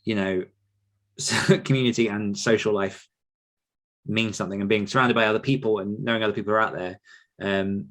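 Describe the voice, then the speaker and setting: A man with a fairly low voice talks as a guest in an interview. His delivery is conversational and reflective, explaining his thinking as he goes. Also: fairly even intonation, normal speed, a bit bright. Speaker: podcast guest, author and writing coach.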